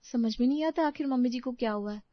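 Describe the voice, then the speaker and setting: A woman voices a young working mother in a family tale. Her high voice is anxious and full of complaint. The sound is dry and slightly muffled. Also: fast talker, no echo, lightly acted. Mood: worried, protesting. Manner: protesting, incredulous.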